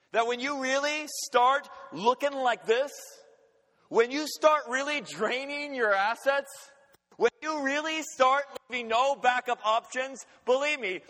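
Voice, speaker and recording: A man preaching a sermon with emphatic delivery, swinging between urgent loud passages and quiet, intimate ones. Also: very high-pitched. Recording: long hall reverb.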